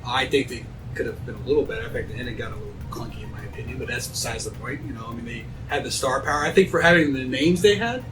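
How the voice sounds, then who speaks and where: Fast-talking man, music playing behind. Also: medium pitch, far from the mic, poor audio. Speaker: panelist. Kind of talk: casual chat.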